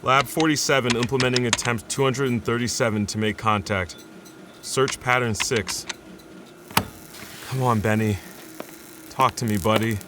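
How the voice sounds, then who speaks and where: An actor playing a steady, loyal male scientist in a naturalistic audio drama. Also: mid-range voice, normal speed, long pauses, noisy background, lightly acted. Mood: hopeful, tired. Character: steady, loyal scientist colleague, grounded and persistent.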